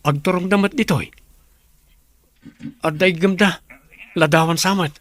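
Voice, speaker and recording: A man's voice: high voice, unhurried, fairly bright tone. An actor with an Ilocano accent playing an earnest, worried young man in a radio drama. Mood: urgent. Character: earnest worried young man, devoted son, protective of Eva. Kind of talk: Philippine radio drama acting.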